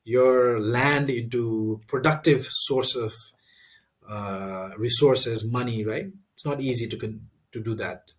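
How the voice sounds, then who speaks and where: Man, interview guest, mid-pitched, thoughtful, halting, many fillers and restarts. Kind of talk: interview answers.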